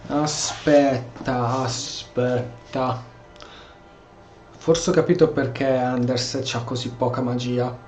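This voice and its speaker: A man's voice: mid-range voice, flat delivery, takes it slowly, a little mellow. Casual Italian man, echoey gameplay commentary. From Italy. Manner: informal, muttering to himself, slangy. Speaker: gaming streamer. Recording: echoey room, faint game music. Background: game music.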